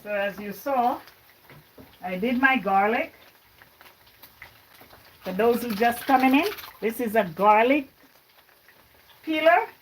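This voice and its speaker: A woman's voice: fairly high pitch, expressive, slow, long pauses, noisy background. A woman born in Jamaica, chatting to her livestream viewers as she cooks in her home kitchen. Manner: chatty, talks to viewers while cooking. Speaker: livestream cooking host. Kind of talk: casual cooking livestream. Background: frying and stirring sounds.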